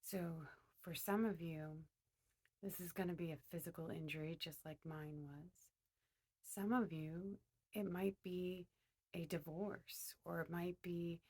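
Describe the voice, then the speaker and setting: A woman leading a guided meditation, speaking to her listeners in a deliberate way with pauses in mid-phrase. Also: fairly low pitch, steady tone, a little mellow, breathy edge.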